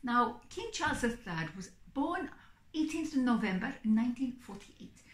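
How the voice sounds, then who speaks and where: A middle-aged woman speaks to camera in an echoey room. Her voice sits high and swings widely up and down. She chats in a theatrical, expressive way, like an entertainer hosting her own show. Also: measured pace. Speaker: singing psychic performer and host. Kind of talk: theatrical chatty vlog to camera. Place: small indoor room, seated on a sofa.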